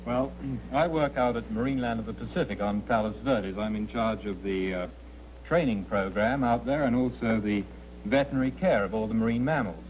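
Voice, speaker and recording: A man's voice: fairly low pitch, brisk, darkish tone, slight background noise, rough recording. A man from England with an English accent, answering a quiz host's questions politely and modestly. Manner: polite and understated. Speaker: contestant. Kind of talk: game show interview.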